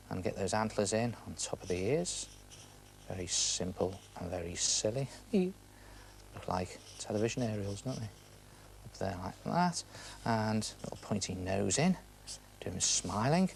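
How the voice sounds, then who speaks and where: A man's voice: fairly low pitch, creaky, a little mellow, a little hiss or hum. A man with a British accent presents a step-by-step drawing demonstration for children, chatting to the viewer and asking questions with playful emphasis. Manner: chatty, asks the viewer questions and answers them. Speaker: presenter of a children's art TV show.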